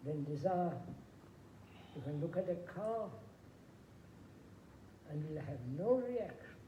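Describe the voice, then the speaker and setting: A man delivers a reflective lecture in a very dark, mellow voice, speaking slowly and deliberately. The recording is roomy, with faint ambient noise behind him. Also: fairly high pitch, animated, muffled recording.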